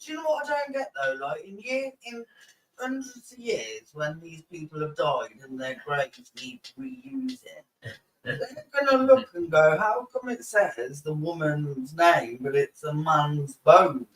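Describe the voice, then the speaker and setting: A woman's voice: sits low, animated, measured pace, slight background noise. A blunt, outspoken woman chatting on a casual podcast. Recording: roomy, distant mic.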